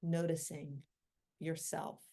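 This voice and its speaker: Low, mellow woman guiding a meditation. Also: little pitch movement. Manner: gentle, unhurried, with long pauses between short phrases. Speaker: meditation guide and physician. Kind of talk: guided meditation.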